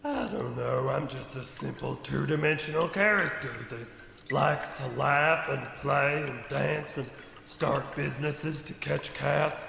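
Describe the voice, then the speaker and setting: A man's voice: mid-range voice, takes it slowly, creaky, echoey room, in a large hall, over music. A man playing a folksy, innocent cartoon creature that is part bear, cow and ape, in a comedy radio play.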